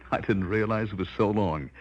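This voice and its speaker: A man's voice: lowish voice, normal speed, a little hiss or hum, close to an everyday voice. An actor playing an earnest, polite research chemist in his thirties, in 1950s radio drama style. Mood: friendly. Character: earnest male research chemist, respectful former student. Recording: dull old 1950s radio recording.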